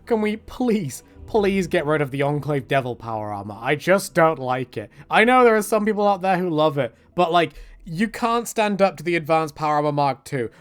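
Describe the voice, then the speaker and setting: Male games commentator, slightly high voice, very animated intonation; rambling and self-deprecating, casual delivery. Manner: swears casually. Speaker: video essayist on games. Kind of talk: casual, joking opinion commentary.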